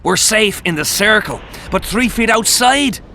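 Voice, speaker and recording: An actor playing a jittery, coarse common soldier in a video game, loud and agitated. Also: male voice, sits high, lively intonation, a little slow, slight background noise, full character voice. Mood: frightened.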